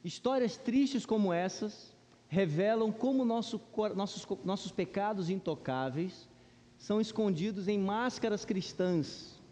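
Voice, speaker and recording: High-voiced Brazilian man teaching in a hall. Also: dark tone. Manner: informal, asks the audience questions and checks in with rhetorical tags. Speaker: Sunday school teacher at a church. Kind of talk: conversational Bible lesson to a congregation. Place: large church room, speaking to a congregation. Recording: reverberant hall with long echo.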